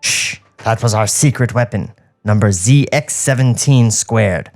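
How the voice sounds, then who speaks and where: A man's voice: fairly low pitch, measured pace, a little mellow, soft background music. A man voicing a secretive cartoon fox scientist, with pompous jargon and conspiratorial manner in a script read.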